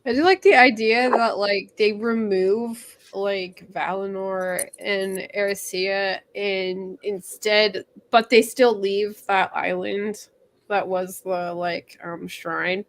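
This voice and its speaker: A woman's voice: mid-range voice, steady tone, slow pace. A woman hosting a book discussion, chatting informally and often saying "like" as she thinks. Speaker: book club livestream host. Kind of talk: casual discussion.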